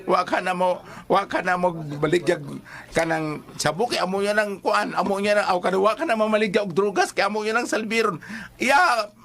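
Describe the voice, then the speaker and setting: A man's voice: sits high, normal speed, fairly bright tone. A man with a Cebuano accent in a casual, teasing storytelling chat as co-host of an advice show.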